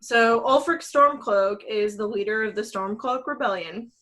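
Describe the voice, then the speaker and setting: A woman with a mid-pitched voice chats casually as a podcast co-host. Her delivery is informal and enthusiastic, with salty language, like someone sharing a favorite hobby with friends. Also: normal speed, fairly bright tone. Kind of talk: casual panel chat about games and movies.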